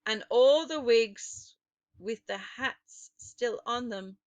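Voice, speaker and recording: Woman, children's audiobook narrator, expressive, dramatic phrasing, fairly high, dry close sound. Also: slow, no echo, lo-fi sound. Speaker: storyteller.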